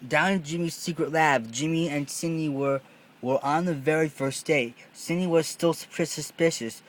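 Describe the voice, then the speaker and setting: Man, fairly high voice, storybook read-along narrator, stumbling reading, repeated phrases. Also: normal speed.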